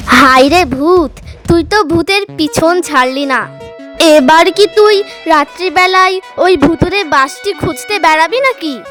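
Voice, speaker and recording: একজন নারী বাচ্চাদের কার্টুন গল্পে এক সাবধানী, বিরক্ত স্কুলপড়ুয়া বান্ধবীর চরিত্রে কণ্ঠ দিচ্ছেন।. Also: female voice, extremely high pitch, a little slow, a bit bright, soft background music. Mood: exasperated. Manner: scolding, sensible, childlike. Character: cautious, exasperated schoolgirl, best friend. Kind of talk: children's cartoon horror story acting.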